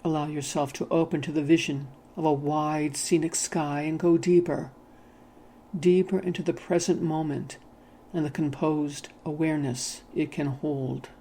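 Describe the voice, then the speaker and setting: A mellow, soft-toned narrator guides a hypnotic meditation at an unhurried pace. The delivery is soothing and gently instructive, with measured phrasing, over faint background ambience. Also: medium pitch, level tone, slight background noise.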